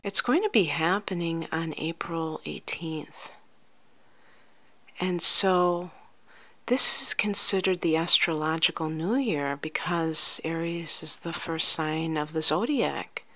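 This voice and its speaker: A woman speaking softly, at times close to a whisper, in a gentle, soothing ASMR roleplay as a life coach talking to the listener. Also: deep voice. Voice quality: soft, often near-whispered. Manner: gentle, unhurried, soothing, addressing the listener directly. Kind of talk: soft-spoken ASMR roleplay.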